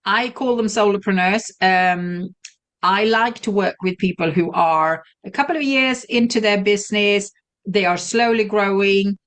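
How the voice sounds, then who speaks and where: A woman with a southern Swedish accent, speaking English as a guest in a casual interview, friendly and quick to laugh. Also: medium pitch. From Sweden. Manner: friendly, laughs easily, fillers. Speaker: guest, virtual assistant.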